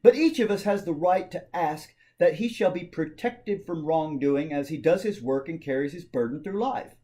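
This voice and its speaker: A man giving a dramatic reading of a political speech, declaiming it with emphatic, measured phrasing. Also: on the high side, lively intonation, warm tone. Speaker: amateur reader of a historical speech.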